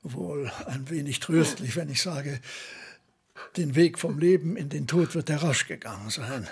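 Husky, pedantic old-time anatomist. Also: male voice, a little high, measured pace, darkish tone, exaggerated voice. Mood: solemn. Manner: formal, Latin-laden, musing aloud. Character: learned, pedantic male anatomist relishing his dead bodies. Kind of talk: German radio play acting.

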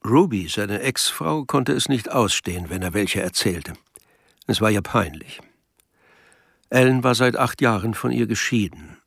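A man's voice: on the low side, expressive, a little slow, vocal fry, fairly bright tone, no room sound, studio quality. A man reading scripted literary narration as the narrator of a radio drama.

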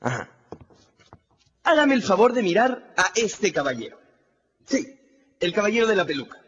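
An actor in a radio drama playing a shrewd, theatrical defence lawyer who questions with pointed mock politeness. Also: male voice, high voice, very animated, normal speed, fairly bright tone, dull audio, a little hiss or hum. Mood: sly, probing.

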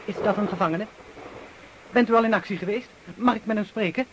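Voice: mid-range voice, fairly quick, lightly acted. A performer playing an eager, polite young male junior officer in a radio drama, full of questions. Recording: noisy old radio play, clicks.

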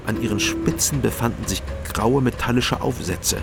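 An actor playing a male former detective who narrates his own story in a measured, dry way, in a radio drama. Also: mid-range voice, vocal fry, heavy background noise, close to an everyday voice. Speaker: first-person narrator and detective. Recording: radio play over loud music score.

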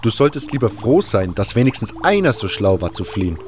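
Guy playing blunt tired fantasy hero telling his story, lowish voice, audio drama, ambient noise behind. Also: sing-song, a little fast. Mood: reproachful. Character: blunt, weary male mercenary hero narrating his quest. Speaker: narrator and protagonist. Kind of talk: scripted first-person audio drama narration and dialogue.

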